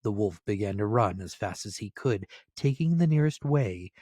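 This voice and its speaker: A man's voice: fairly low pitch, animated, normal speed, mellow, dry recording, studio quality. A man giving a dramatic scripted reading of a fairy tale as storyteller.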